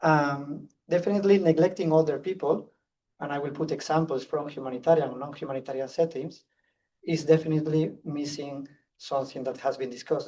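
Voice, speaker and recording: Spanish-accented man speaking fast. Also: a little high, warm tone, distant mic, low-quality recording. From Spain. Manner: fast, earnest, with restarts. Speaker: conference speaker. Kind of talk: public talk.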